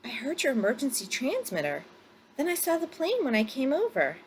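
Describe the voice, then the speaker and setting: A woman voicing an astonished male bush pilot in a story read-aloud, with halting, incredulous exclamations. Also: sits high, huge pitch swings, slight background noise, voice pushed up, low-quality recording. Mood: surprised.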